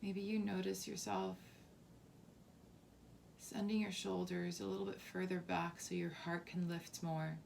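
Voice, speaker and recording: A woman leading a guided body scan meditation as a teacher, softly and calmly, with unhurried phrasing and long pauses. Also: fairly low pitch, gravelly, a little hiss or hum. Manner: soft, unhurried, calming instruction with long pauses.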